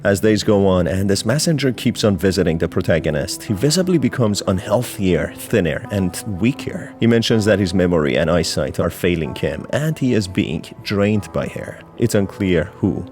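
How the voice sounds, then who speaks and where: Low-voiced male narrator over music. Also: lively intonation, normal speed, hardly any pauses, a little mellow. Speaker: video narrator explaining game lore. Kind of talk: scripted narration. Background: background music.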